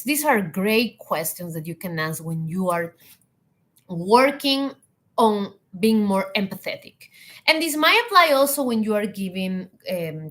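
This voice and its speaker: A woman whose first language is Spanish, giving earnest leadership advice in English with pauses and restarts. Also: mid-range voice, fairly bright tone. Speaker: hospitality leadership coach. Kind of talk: talking to camera.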